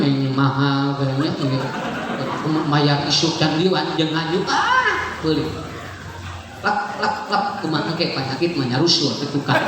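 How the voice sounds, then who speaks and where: Sundanese preacher joking in an echoey hall. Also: male voice, fairly high pitch. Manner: chatty, joking, mixing in local words, laughs often. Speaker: Islamic preacher. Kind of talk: lively humorous sermon to a congregation. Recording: echoey hall, far from the mic, noisy.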